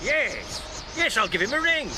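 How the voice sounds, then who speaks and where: An actor playing a cautious senior British police officer in a radio drama. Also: male voice, extremely high pitch, sing-song, unhurried, noisy background, heavily acted. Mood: concerned, businesslike. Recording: radio drama with music underneath.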